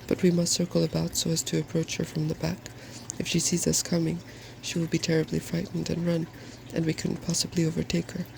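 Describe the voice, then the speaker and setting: A man reading as a gravelly, hopeful storybook dragon. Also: fairly high pitch, completely flat delivery, fairly quick, hardly any pauses, noisy background. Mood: cautious. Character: cheerful, undaunted, wise male dragon, weary traveller. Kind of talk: soft-spoken storybook reading.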